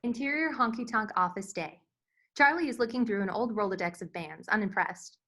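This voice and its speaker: A woman's voice: medium pitch, brisk, sharp tone. A woman narrating scripted stage directions at a screenplay table read.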